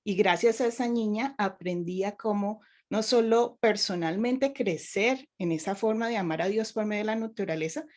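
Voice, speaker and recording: A woman's voice: mid-range voice, a little mellow. A woman raised in Colombia, a youth minister, giving earnest advice and telling personal stories in a panel discussion.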